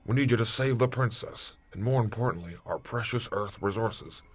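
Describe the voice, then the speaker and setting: A man playing a blunt, callous military commander with a husky voice and clipped manner in a retro sci-fi radio drama. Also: fairly low pitch, normal speed, natural voice. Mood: grave. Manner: clipped, military, matter-of-fact. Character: blunt, callous male space-force commander.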